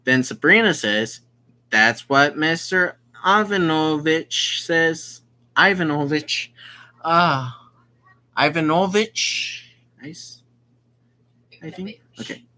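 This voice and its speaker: A gamer reading a visual novel aloud with casual commentary, halting and often explaining who is speaking. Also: mid-range voice, animated, bright, forceful tone. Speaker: gaming video host.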